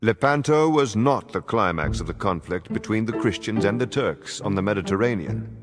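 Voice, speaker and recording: A man giving a dramatic scripted historical narration for a game cutscene, in a measured, formal storytelling manner. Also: on the low side, animated. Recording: music behind the narration. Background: background music.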